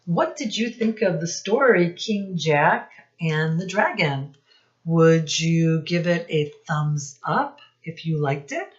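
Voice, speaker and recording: Lady teacher doing kids story time, real slow, lowish voice, explains stuff and asks questions, bit lo-fi. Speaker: teacher reading storybook to children. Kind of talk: children's read-aloud with questions to listeners.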